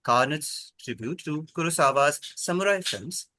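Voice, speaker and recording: Male quiz host, lively slightly high pitch, husky edge, measured and precise, formal question reading. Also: dry recording.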